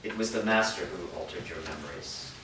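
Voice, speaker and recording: A man plays a guilt-ridden father in a fantasy drama, worried and earnest. His halting, fairly low voice is heard from a distance in a heavily echoing room. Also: lightly acted. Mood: regretful. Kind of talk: staged reading of a fantasy drama. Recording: echoey room, distant mic, clear noise.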